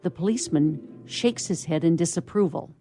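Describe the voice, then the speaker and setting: A woman's voice: on the low side, moderate pace, mellow, faint music behind. A woman narrating a scripted radio play.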